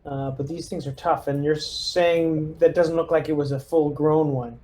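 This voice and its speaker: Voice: medium pitch, fairly even intonation, moderate pace, a little mellow. A tabletop roleplaying player talking casually through game moves and orders to the squad. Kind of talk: casual gaming chat with in-character orders.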